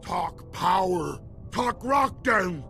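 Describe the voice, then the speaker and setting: A man voicing a brutish, primitive alien raider in a video game, barking short broken phrases in a gravelly voice. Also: high voice, lively intonation, extremely slow, sharp tone, full character voice. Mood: demanding, agitated. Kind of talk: video game character acting. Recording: game music behind voice.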